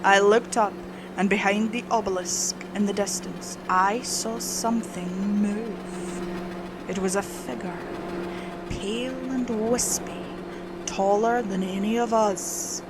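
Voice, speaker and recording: A woman's voice: mid-range voice, steady tone, slow pace, very noisy. A woman reading a scripted horror story as its narrator.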